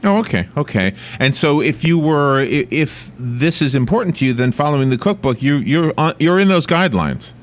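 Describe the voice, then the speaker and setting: A man's voice: on the high side, lively intonation, normal speed, few pauses. A man from the United States hosting a local radio interview, chatty and teasing, with many restarts. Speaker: radio host.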